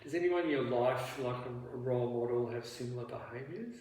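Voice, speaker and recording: A man acting as a therapist in a counselling role play, asking questions gently and haltingly, full of restarts and "you know" fillers. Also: medium pitch. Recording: very echoey room, faint background noise.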